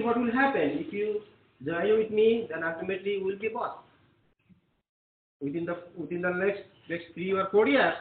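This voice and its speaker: A man whose first language is Bengali, lecturing a class in an earnest, encouraging way and often repeating himself. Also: sits high. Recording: echoey room, tinny phone-like sound.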